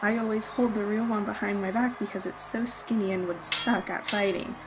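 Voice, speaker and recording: A woman's voice: medium pitch, steady tone, moderate pace, heavy background noise, lightly acted. A young woman acting a friendly, folksy, dim-witted female Roman gladiator in radio theatre. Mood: cheerfully matter-of-fact. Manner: folksy turns of phrase. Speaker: student radio theatre actor. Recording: music behind the voice.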